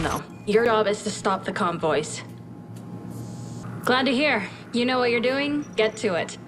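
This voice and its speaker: An actress playing a brisk, dry-witted military scientist, giving a mission briefing in a video game with a crisp, matter-of-fact delivery. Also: female voice, mid-range voice, close to an everyday voice. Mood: businesslike. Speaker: military research officer briefing a commander.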